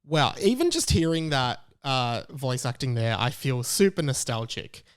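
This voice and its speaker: Clear-voiced young Australian man hosting a podcast. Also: medium pitch, very animated, moderate pace. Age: late 20s. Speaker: podcast host. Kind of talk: casual podcast monologue.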